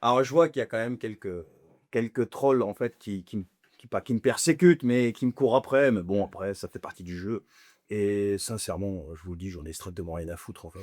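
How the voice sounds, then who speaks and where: A man's voice: fairly low pitch, a little fast, darkish tone, no echo, studio quality. A man in his forties from Lebanon, a podcast guest telling his life story casually and bluntly, with slang, many fillers and chuckles. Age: 40s.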